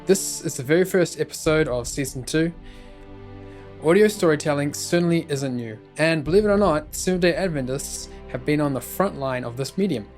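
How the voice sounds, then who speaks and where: A man's voice: on the high side, moderate pace, a little mellow, soft background music. A man hosting a podcast, reading scripted narration.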